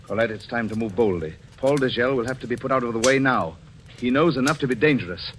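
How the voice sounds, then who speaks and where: A man's voice: mid-range voice, slight background noise, natural voice. A man playing a cruel, controlling husband with a suave, sardonic, commanding manner in 1940s radio suspense style. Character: cruel, menacing, controlling husband, coldly mocking his wife. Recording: old radio broadcast with music behind.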